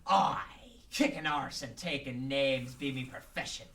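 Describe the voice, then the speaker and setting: An actor voicing a gleeful, sly pirate crime lord with a stage pirate brogue and theatrical archaic speech, in a radio drama. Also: male voice, mid-range voice, measured pace, fairly bright tone, distant mic. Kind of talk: amateur radio drama acting.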